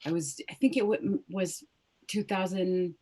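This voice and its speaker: A woman's voice: mid-range voice, fairly even intonation, measured pace. A woman in her thirties, born in Lebanon with Arabic as her first language, talking reflectively in a casual video-call interview, often restarting her sentences. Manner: warm, reflective, many restarts and fillers. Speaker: guest soprano.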